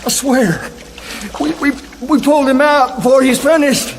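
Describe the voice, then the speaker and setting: Very high-pitched, strained gangster. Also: male voice, fairly even intonation, normal speed, a little hiss or hum. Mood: desperate pleading. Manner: barking short commands and pleas. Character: menacing gangster robber with a rifle, turning to begging. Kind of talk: radio drama acting.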